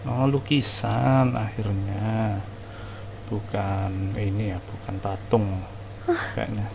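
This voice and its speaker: Creaky-voiced Indonesian male gamer. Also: lowish voice, steady tone, slow pace, noisy background. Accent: Indonesian, Jakarta colloquial. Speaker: gaming streamer. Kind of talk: casual gameplay commentary. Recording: loud game music behind voice.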